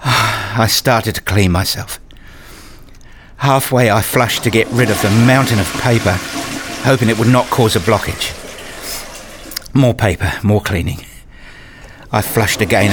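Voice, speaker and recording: A man's voice: on the low side, background noise, natural voice. A man playing a self-deprecating, nerdy father who tells his own story in a radio play in wry, formal wording. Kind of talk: first-person radio play narration.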